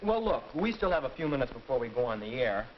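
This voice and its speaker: Actor playing an earnest, lively teenage boy, vintage film. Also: medium pitch, fast talker, a crowd in the background, natural voice. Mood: earnest, explanatory. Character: earnest teenage high-school boy explaining his point. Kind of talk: 1940s educational film acting, scripted discussion. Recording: muffled, noisy 1940s film soundtrack.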